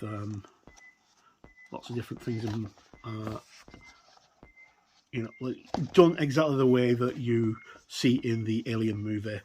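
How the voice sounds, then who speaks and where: Man, mid-pitched voice, casual unscripted reviewer, halting and rambling, faint background noise with clicks. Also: darkish tone, low-quality recording. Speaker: tabletop game reviewer. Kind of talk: casual flip-through product review, unscripted.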